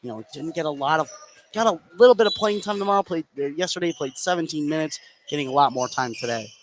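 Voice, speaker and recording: A man's voice: fairly high pitch, quick pace. A man doing live play-by-play basketball commentary as a sports announcer. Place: courtside in an indoor high school gym. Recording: noisy live broadcast from a gym. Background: loud gym ambience.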